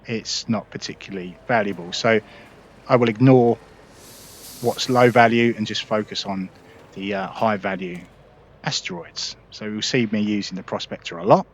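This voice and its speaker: A man hosting a casual gameplay tutorial, relaxed and explanatory, talking viewers through each step. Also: fairly low pitch, normal speed, slightly rough, lo-fi sound. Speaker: gaming video host.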